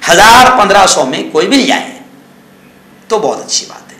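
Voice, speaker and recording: High, bright-voiced male cleric, echoey. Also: big pitch swings, normal speed. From India. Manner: exhortative, scolding, conversational with rhetorical questions and repetition. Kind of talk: informal sermon-like news commentary.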